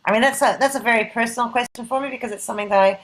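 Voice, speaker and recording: Woman, middle-aged, slightly high pitch, casual moderator, informal, rambling, self-deprecating, video call. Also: rapid-fire, low-quality recording. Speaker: event moderator. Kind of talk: casual event wrap-up on a group video call.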